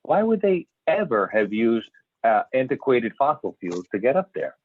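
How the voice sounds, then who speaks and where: A man playing an earnest, assured UFO scientist in an amateur script reading, explaining emphatically with some halting stumbles. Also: mid-range voice, sing-song, a little hiss or hum, close to an everyday voice. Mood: explanatory, emphatic. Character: male expert scientist investigating UFO reports, earnest and assured. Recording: tinny phone-like conference call, echoey room.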